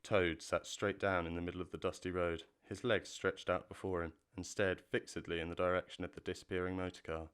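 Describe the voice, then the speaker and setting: A man narrating a classic children's story with measured, expressive delivery on a close mic. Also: sits low, clean tone, no echo. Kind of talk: audiobook narration.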